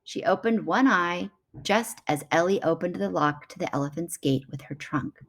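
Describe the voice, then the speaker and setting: A woman narrating a children's storybook as an expressive read-aloud. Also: on the low side, normal speed. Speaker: children's book reader.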